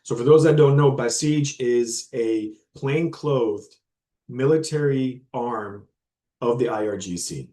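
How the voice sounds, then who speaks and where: A man in a university classroom delivering an informal guest talk. His voice sits in an ordinary middle pitch, and his delivery is loose and conversational, with many fillers and restarts. He breaks off now and then to explain terms simply for his listeners. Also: slow pace, dark tone. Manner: casual and conversational, with many fillers and repeated words; explains terms plainly for the audience. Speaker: guest lecturer. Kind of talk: informal university lecture to students.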